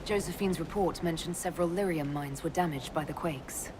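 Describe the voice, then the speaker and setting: A woman's voice: low voice, fairly quick, background noise, natural voice. An actress playing a composed, direct woman commander in a fantasy video game, with an inquisitive manner. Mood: composed, inquiring. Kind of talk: video game cutscene acting.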